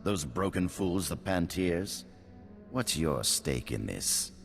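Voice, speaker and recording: Very deep, imperious villainous usurper. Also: male voice, very animated, slow pace, creaky, full character voice. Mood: contemptuous, wary. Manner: haughty, contemptuous. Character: proud, imperious male usurper and war veteran, villain. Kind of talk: video game voice acting. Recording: reverberant hall, music underneath.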